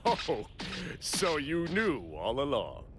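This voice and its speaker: An actor playing a cocky, sly villain in an anime video game, mocking and unhurried. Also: male voice, fairly high pitch, wildly swooping pitch, background noise, heavily acted. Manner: mocking, unhurried, mock-concerned.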